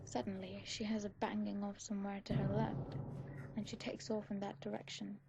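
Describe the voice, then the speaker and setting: A woman with a husky voice reading a scripted story aloud in an ASMR style. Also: mid-range voice, monotone, moderate pace, heavy background noise. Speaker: fan fiction audiobook narrator.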